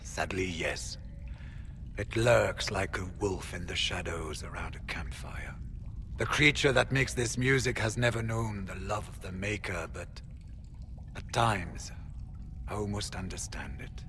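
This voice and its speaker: Grave, low-voiced veteran fantasy warrior, noisy background. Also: male voice, measured pace, fairly bright tone. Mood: ominous, resolute. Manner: solemn, formal. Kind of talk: dramatic fantasy game acting.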